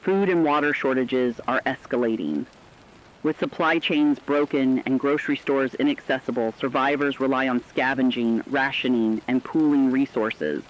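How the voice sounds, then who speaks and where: A man playing an earnest radio announcer, reading a scripted emergency broadcast in a measured manner. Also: medium pitch, natural voice. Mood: earnest, urgent. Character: earnest male radio announcer warning survivors of catastrophe. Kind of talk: scripted fictional radio news narration. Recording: dull lo-fi sound, rain-like noise.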